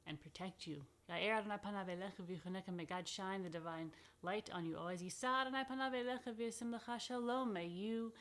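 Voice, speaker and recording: A woman rabbi hosting a children's story time, speaking informally and playfully straight to the children in a chatty way. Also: on the low side.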